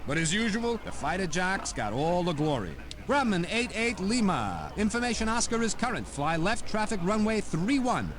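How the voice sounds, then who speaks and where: High-pitched, animated wry air traffic controller. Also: male voice. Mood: brisk, procedural. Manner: chatty, folksy, dryly joking. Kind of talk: scripted casual tour narration with radio calls.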